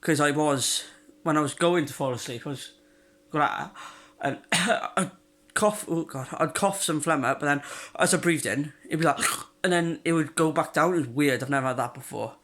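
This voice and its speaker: A man's voice: on the high side, normal speed, fairly bright tone. A young man, chatting in a rambling home video diary, congested and coughing with a sore throat. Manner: rambling, chatty, frequent fillers and restarts. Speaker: vlogger.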